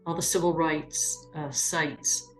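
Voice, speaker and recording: A woman from New Hampshire sharing her experience in an animated way, laughing as she retells moments. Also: sits low, slow pace. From New Hampshire, USA. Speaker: interviewee, churchgoer. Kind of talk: reflective testimony. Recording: roomy sound with music bed.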